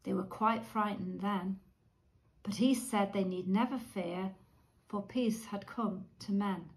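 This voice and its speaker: A woman's voice: medium pitch, steady tone, slow pace, rough recording. A woman reciting a storytelling ballad in verse. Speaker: church group leader reading aloud.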